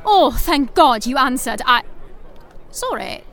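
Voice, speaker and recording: High-voiced, anxious young Victorian woman. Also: very animated, slow pace, crowd noise, noisy background, heavily acted. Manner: hesitant, earnest. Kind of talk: Victorian comedy audio drama acting.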